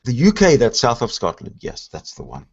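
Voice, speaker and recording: A Canadian man hosting a casual panel talk, opinionated and animated, often stammering and restarting. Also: medium pitch, a little fast, vocal fry, husky. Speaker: host. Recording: dull lo-fi audio.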